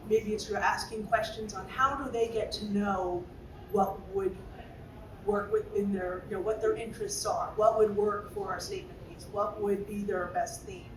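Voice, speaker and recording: A woman's voice: medium pitch, reverberant, distant mic, noisy background. A woman moderating a panel discussion, asking designers questions in a playful way. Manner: playful, asks questions with fillers.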